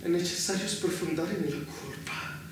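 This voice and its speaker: An actor playing a fanatical, tormented brother in a stage drama, grandiose and pleading, declaiming in halting phrases and repeating himself. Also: male voice, a little high, natural voice. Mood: feverish, grandiose. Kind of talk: stage theatre acting. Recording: echoey stage from far off, faint noise.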